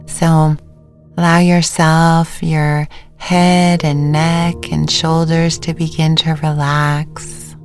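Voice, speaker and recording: Female meditation guide, low register, slow and gentle delivery with pauses, faint music bed. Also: little pitch movement. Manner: unhurried, gentle instruction with pauses. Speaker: meditation teacher.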